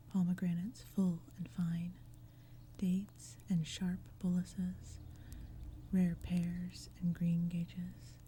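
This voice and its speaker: A woman voicing sly little goblin fruit sellers from a storybook poem, in a breathy, coaxing, sing-song whisper. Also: on the low side, dark tone, slight background noise.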